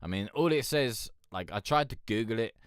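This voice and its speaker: A man with a deep voice hosting a music review show, talking frankly and loosely, swearing and rambling with lots of fillers. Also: lively intonation, a little fast, clean tone, no echo, clean studio sound. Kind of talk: casual chat reviewing submitted songs.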